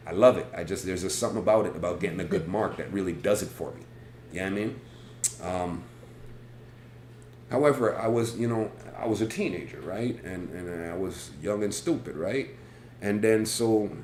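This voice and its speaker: A man with a big, booming voice, hosting a casual live stream and telling a rambling, animated story from his school days. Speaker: host. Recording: echoey room with faint background noise.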